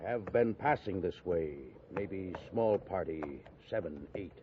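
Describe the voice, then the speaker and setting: Terse, low-voiced frontier scout. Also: male voice, unhurried. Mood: stoic. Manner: clipped broken English, laconic. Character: terse, stoic Crow Indian scout. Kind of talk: 1950s radio drama acting. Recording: old radio, narrow band, noisy.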